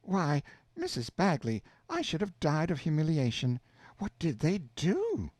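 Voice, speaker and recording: Male reader playing a high-voiced, exclamatory housewife shouting her half of a phone call for a storybook reading; bouncy inflection. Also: huge pitch swings, unhurried, dry recording, exaggerated voice. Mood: exclaiming. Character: chattering housewife on the telephone.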